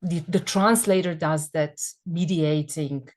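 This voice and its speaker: Hesitant woman, lowish voice. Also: steady tone, takes it slowly, darkish tone. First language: Serbian. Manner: thoughtful, hesitant, many fillers. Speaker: guest speaker. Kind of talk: academic panel discussion.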